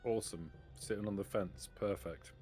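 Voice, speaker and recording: A man's voice: fairly low pitch, steady tone, normal speed, darkish tone, soft background music. A man playing a tabletop role-playing game, talking in character at the table in a blunt, dry way.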